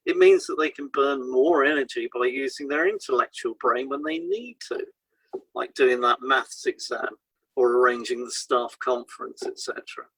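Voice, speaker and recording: A man co-hosting an educational podcast, reading scripted explanations in a deliberate, instructive way. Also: mid-range voice, fairly bright tone, husky, no echo, rough recording. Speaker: podcast co-host, hypnotherapist. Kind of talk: scripted podcast discussion, read aloud.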